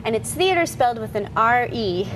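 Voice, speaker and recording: A woman's voice: on the high side, moderate pace, noisy background. A woman guest talking casually about her theater company's youth programs. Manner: relaxed, with fillers. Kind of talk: casual interview chat.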